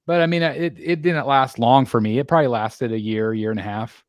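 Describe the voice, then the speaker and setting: Relaxed man in his forties hosting. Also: medium pitch, fairly quick, no room sound, clean studio sound. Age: 40s. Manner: relaxed, many filler ums and restarts. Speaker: host. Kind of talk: casual panel chat.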